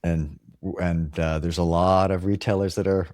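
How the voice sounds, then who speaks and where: A man's voice: low-pitched, little pitch movement, normal speed, a little mellow, no echo, clean studio sound. A man from Hawaii hosting an interview podcast, talking casually with lots of fillers and restarts. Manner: rambling, many fillers and restarts. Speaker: podcast host.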